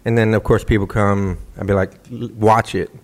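A man's voice: on the low side, little pitch movement, normal speed. A man co-hosting a casual podcast, laid-back and laughing often as he tells stories. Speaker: podcast co-host. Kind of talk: casual chat.

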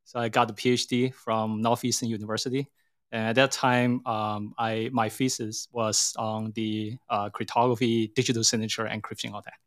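A man's voice: lowish voice, level tone, normal speed, fairly bright tone. A middle-aged man with a Hong Kong accent, answering interview questions in a hesitant, explanatory way, often rewording as he goes. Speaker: interview guest, tech company CEO.

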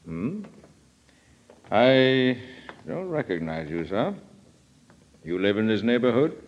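A dignified old gentleman judge talks with genteel hesitation. His pitch swings very widely, on a muffled old-time radio drama recording. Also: sing-song, takes it slowly, full character voice. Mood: puzzled, uneasy.

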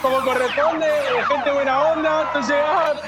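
A man playing an excitable jogger with a Buenos Aires accent, stammering and slangy as he gives an eyewitness account in a radio drama. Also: very high-pitched, normal speed, over loud music. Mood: panicked, astonished. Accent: Buenos Aires Argentine. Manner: stammering, slangy, breathless exclamations. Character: excitable young male jogger giving a panicked eyewitness account.

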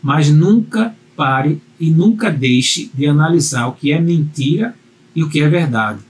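A man with a slightly high voice gives a motivational video lesson on speaking and self-confidence. He talks straight to the viewer in an earnest, repetitive way, pressing each point home. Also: expressive, a little slow, rough recording. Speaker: communication coach.

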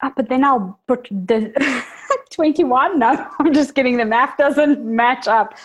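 A woman with a South African accent softened by New Zealand, a native Afrikaans speaker, chatting candidly as a podcast guest and laughing at her own jokes. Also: high-pitched, measured pace, muffled recording. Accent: South African with New Zealand influence. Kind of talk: casual conversational storytelling.

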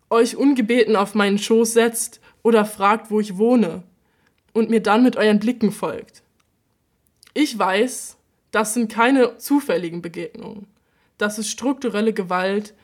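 A woman's voice: medium pitch, lightly acted. A woman voicing a defiant queer speaker. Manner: defiant, impassioned. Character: queer person angrily addressing their harassers. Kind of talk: theater radio play monologue.

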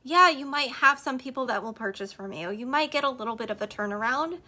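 A woman hosting a podcast, giving emphatic, repetitive business advice straight to her listeners, her voice slightly hoarse. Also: high voice, a little fast, sharp tone. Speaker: podcast host and network marketer. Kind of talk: motivational business talk on a live stream.

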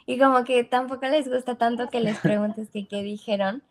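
A young Mexican woman with a Mexican accent, speaking in a voice a little higher than most. She chats freely and slangily as a podcast guest and laughs easily. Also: fairly even intonation, moderate pace. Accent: Mexican Spanish. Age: young adult. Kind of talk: casual chat.

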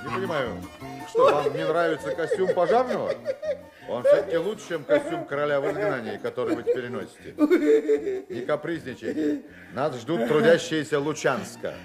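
An actor playing a smooth-talking, witty con man in a radio play, ironic, commanding and grandly rhetorical. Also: fairly high pitch, huge pitch swings, moderate pace, music in the background. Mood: teasing, amused.

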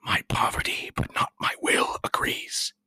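A man voicing a poor, frail, fearful apothecary with a breathy whisper in a stage tragedy. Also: a little slow, pushed and bright, dry recording. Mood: fearful, reluctant. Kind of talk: dramatic reading of a modernised stage tragedy.

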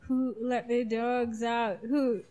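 A woman co-hosting a casual podcast chat, talking in a giggly, animated way. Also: fairly high pitch, slow. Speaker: podcast co-host.

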